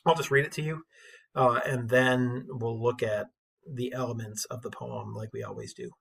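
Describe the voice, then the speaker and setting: A man with a husky voice giving an informal, enthusiastic classroom lecture as an English teacher, telling stories in colloquial language. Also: medium pitch, lively intonation, moderate pace, fairly bright tone.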